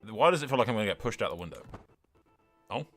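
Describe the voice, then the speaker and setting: Male gaming host, low-ish pitch with big swings, sweary, exclamatory let's-play style over faint music. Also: expressive, fast talker, fairly bright tone. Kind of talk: casual let's-play commentary mixed with in-character roleplay narration.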